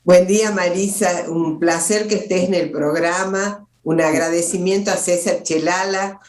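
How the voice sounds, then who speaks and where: A woman hosting an interview, speaking haltingly with frequent restarts. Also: fairly low pitch, steady tone, darkish tone, reverberant. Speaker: host. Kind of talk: casual interview.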